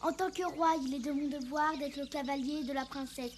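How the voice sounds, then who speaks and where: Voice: high voice, steady tone, brisk, a little hiss or hum, lightly acted. A young performer voicing an anxious, well-meaning boy elephant king in a French-dubbed cartoon, hesitant and earnest. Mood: worried, earnest.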